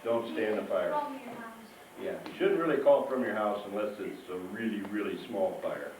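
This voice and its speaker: A man's voice: fairly low pitch, animated, moderate pace, darkish tone. A man giving an informal, instructive safety talk to children as a firefighter, often checking that they follow. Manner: informal, instructive, checks understanding with frequent questions. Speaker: firefighter hosting a fire-safety show for schoolchildren. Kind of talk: live educational presentation to children. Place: echoing indoor hall, live event. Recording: echoey hall from far off, faint noise.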